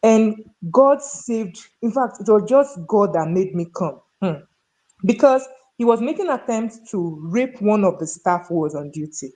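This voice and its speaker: A woman's voice: mid-range voice, animated, unhurried, mellow, low-quality recording. Nigerian woman telling stories emphatically. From North Central Nigeria. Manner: emphatic, rhetorical questions. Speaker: human resources professional, talk show guest. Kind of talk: conversational storytelling and opinion in a discussion.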